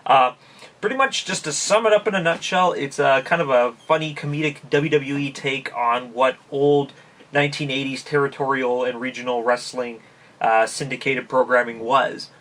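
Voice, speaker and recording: A chatty man from Canada talks through a casual review vlog, full of fillers and quick laughs. His voice sits in a middle register. He sounds a little far from the microphone. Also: mid-range voice, fairly even intonation, fairly bright tone. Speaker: YouTube channel host. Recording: voice somewhat distant from mic.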